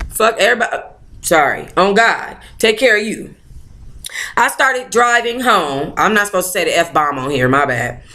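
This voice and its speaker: A woman's voice: medium pitch, pushed and bright, slight background noise. A woman hosting a book club, reading aloud expressively with sassy, informal interjections of her own. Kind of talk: expressive read-aloud with playful ad-lib commentary.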